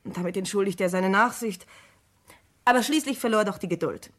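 A woman playing a composed, dutiful secretary in a radio crime play, speaking in a precise, measured, formal way. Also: mid-range voice, close to an everyday voice. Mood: matter-of-fact. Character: composed, dutiful female secretary testifying to police. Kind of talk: German radio crime drama acting.

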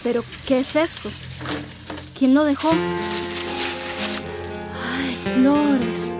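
An overwhelmed young sweetheart in a radio soap. Her very high voice lingers slowly over each phrase, with music underneath. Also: fairly even intonation. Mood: astonished, tearful joy. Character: emotional young woman overwhelmed by a marriage proposal. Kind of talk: melodramatic radio drama acting.

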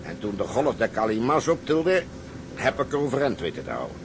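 A man's voice: medium pitch, wildly swooping pitch, fairly quick, noisy background. An actor playing a hard, boastful, unrepentant seaman in a maritime drama, talking matter-of-factly. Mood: boastful, satisfied. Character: hard, callous male seaman, unrepentant adventurer. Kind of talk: dramatic seafaring acting.

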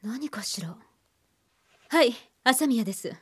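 A woman's voice: on the high side, expressive, slow, slight background noise. A woman acting a serious, resolute doctor in a radio drama. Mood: tense, resolute.

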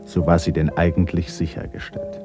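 A man narrating a literary radio play in a measured, sober manner. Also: low voice, level tone, over music, natural voice.

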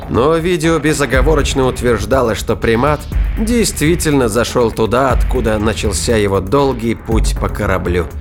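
A man narrating a scripted science fiction thriller as an audiobook narrator. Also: mid-range voice. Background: music, battle sound effects.

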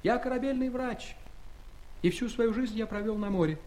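Teeny courteous ship doctor, super high wistful voice, storybook audio play. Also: male voice, normal speed, darkish tone, a little hiss or hum, poor audio. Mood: wistful, polite. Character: tiny, polite, wistful ship's doctor. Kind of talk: Soviet audio play acting.

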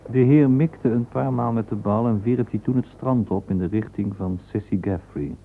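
A man's voice: mid-range voice, moderate pace, vocal fry. A man narrating a literary radio play. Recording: muffled phone-like old radio recording, hissy.

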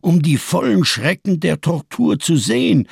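Gravelly, embittered camp survivor. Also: male voice, fairly high pitch, sing-song, unhurried, darkish tone, dry recording, studio quality, exaggerated voice. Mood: agitated, intense. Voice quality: gravelly, husky. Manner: solemn, rhetorical, biblical diction, bitterly sardonic. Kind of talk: German radio crime drama monologue.

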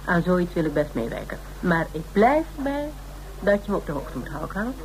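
Shrewd, low-voiced female private investigator. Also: very animated, normal speed. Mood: businesslike, wary. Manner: matter-of-fact, lecturing, self-assured. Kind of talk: Dutch radio play acting. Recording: noisy radio play recording.

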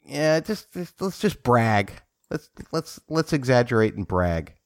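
Man, 40s, podcast host, lively up-and-down delivery, dry close sound, playful. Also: medium pitch, a little slow, no echo. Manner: playful, jokey, repeats words when starting a sentence. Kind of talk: casual book discussion.

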